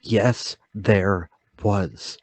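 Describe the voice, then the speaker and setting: A man's voice: fairly low pitch, steady tone, drawn-out words, no room sound. A man playing a meek, bewildered murder suspect, speaking hesitantly and politely in a mystery radio play table read. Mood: quietly insistent.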